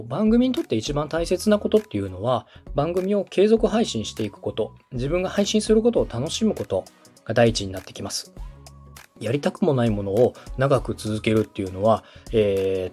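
A man's voice: medium pitch, a little mellow, slightly rough. A man hosting a podcast, explaining step by step in a polite, instructive coaching style. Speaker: podcast host and radio director giving advice.

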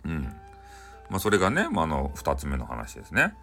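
A man with a Hakata accent narrating a bedtime folktale in a casual, chatty, animated way. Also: a bass voice, fairly quick, soft background music. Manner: chatty, animated, frequent sentence-ending fillers. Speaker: storyteller.

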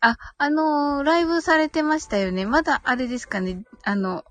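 A woman with a fairly high voice hosts a casual live stream. She reads out listener comments and answers politely, agreeing often with small murmured responses. Music plays behind her as she talks. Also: moderate pace. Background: background music.

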